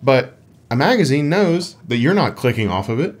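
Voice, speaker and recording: Guy with an average pitched voice, kinda dry and tongue-in-cheek, chatting on a gaming podcast. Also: sing-song, normal speed, breathy edge. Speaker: podcast co-host. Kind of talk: casual gaming podcast chat.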